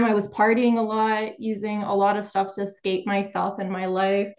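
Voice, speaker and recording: A woman's voice: medium pitch, muffled recording. A woman from Ukraine, a somatic therapist and coach, telling her personal story openly in a remote interview. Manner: open, reflective, laughs lightly.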